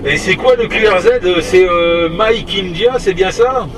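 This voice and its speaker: Higher-voiced man, distant and noisy. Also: unhurried. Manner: hesitant, rambling, tag words at phrase ends. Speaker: CB radio operator. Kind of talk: casual CB radio chat. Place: inside a moving vehicle. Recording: distant mic, steady vehicle noise.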